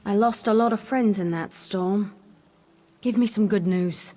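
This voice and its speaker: A woman's voice: fairly high pitch, a little mellow, lightly acted. A woman voicing a tough, wounded elf marine in a fantasy video game, blunt, grim and determined.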